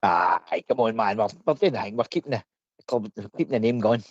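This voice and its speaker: A man's voice: on the low side, lively intonation, fairly quick, no room sound. A Scottish man chatting as a guest on a fan radio phone-in, laughing and saying man a lot. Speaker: regular guest. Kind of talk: casual phone-in chat. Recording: dry, muffled phone line.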